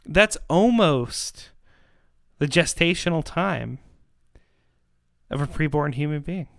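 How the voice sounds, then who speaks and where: A man giving a persuasive monologue in an emphatic, rhetorical manner, with dramatic pauses and repetition. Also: a little high, animated, creaky, no echo, clean studio sound. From Canada. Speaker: podcast host and pro-life activist.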